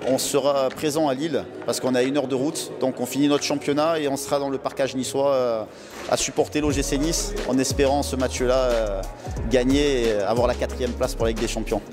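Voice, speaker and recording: A man in his thirties speaking haltingly in an interview, repeating words as he goes. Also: mid-range voice, fairly even intonation. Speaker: firefighter, amateur footballer. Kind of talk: on-camera interview. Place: large indoor hall. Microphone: handheld interview microphone. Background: music.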